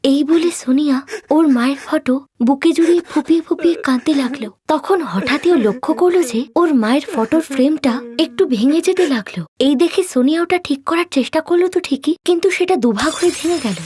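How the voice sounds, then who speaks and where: High-pitched woman narrating a story. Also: lively intonation, quick pace, a little mellow. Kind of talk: scripted cartoon story narration. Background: faint music.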